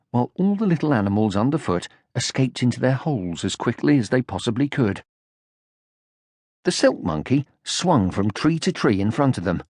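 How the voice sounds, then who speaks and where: A man narrating a children's audiobook from a script in an expressive storytelling style. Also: mid-range voice, no room sound, studio quality.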